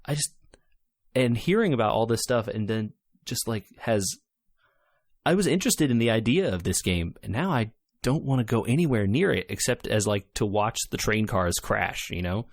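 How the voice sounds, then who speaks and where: A man's voice: on the low side, lively intonation, moderate pace, no room sound, clean studio sound. A man co-hosting a casual gaming podcast, talking emphatically and with exasperation, repeating words for stress. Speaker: podcast co-host.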